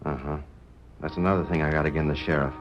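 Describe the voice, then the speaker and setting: An actor playing a desperate, wrongly accused prisoner in a 1930s radio drama, speaking in a rough frontier dialect. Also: male voice, rumbling low, fairly even intonation, quick pace, soft background music. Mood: aggrieved. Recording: dull old radio recording with faint hiss.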